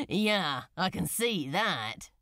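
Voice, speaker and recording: A woman's voice: lowish voice, big pitch swings, very slow, fairly bright tone, dry recording, studio quality, pitched below the performer's own voice, heavily acted. A woman voicing a sardonic, breezy male demon with a flippant, teasing drawl.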